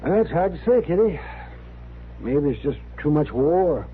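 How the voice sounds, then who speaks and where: An actor playing a thoughtful, weary, plain-talking frontier town doctor in an old radio western. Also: male voice, fairly high pitch, sing-song, unhurried. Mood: reflective. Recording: muffled, noisy old radio recording.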